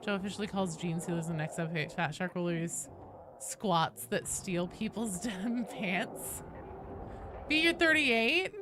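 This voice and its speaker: A woman's voice: lowish voice, unhurried, background noise. A woman livestreaming a game, chatting casually in a rambling, sweary, self-deprecating way. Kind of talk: casual gaming stream chat and commentary.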